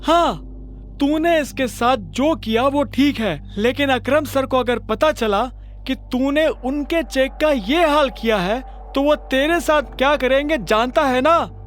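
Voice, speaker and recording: A man's voice: extremely high pitch, slow, slight background noise, pitched above the performer's own voice. A man voicing a sly, bossy manager in a secret gang, scolding and emphatic, in an audio drama.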